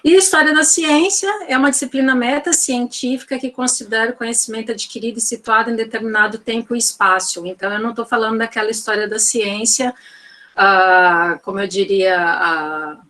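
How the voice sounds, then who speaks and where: This is a woman with a Northeastern Brazilian accent lecturing to teachers over a video call. Her voice sits somewhat high, and she explains in a relaxed, conversational way, thinking aloud and leaning on little fillers as she moves through her slides. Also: fairly quick. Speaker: guest lecturer in physics education.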